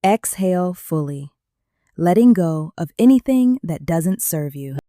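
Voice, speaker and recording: A woman leading a guided meditation in a calm, gentle way, with slow soothing instructions and long pauses. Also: on the low side, no room sound, clean studio sound.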